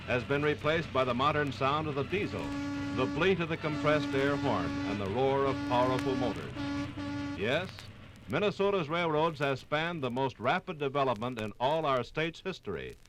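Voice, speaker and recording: A man's voice: mid-range voice, expressive, moderate pace, poor audio. An American man narrating a 1950s radio documentary from a script in a formal, emphatic, oratorical manner. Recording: 1950s radio program on vinyl. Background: music.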